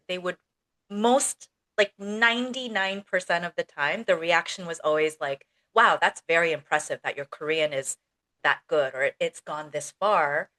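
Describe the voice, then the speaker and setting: A woman who is a native English speaker, co-hosting a casual podcast chat as an ESL teacher, speaking in a relaxed way with many fillers. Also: on the low side.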